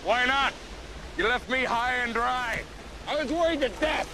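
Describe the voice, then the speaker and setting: An actor playing a gruff, middle-aged knight who talks in an exasperated, forceful, scolding way. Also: male voice, extremely high pitch, measured pace, background noise. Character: gruff weary male knight, middle-aged, hot-tempered.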